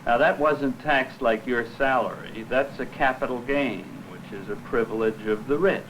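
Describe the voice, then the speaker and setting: A man's voice: medium pitch, normal speed, noisy background. An American man, a former executive, explaining things in a blunt, plain-spoken way on an old film soundtrack. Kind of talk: candid explanation to camera.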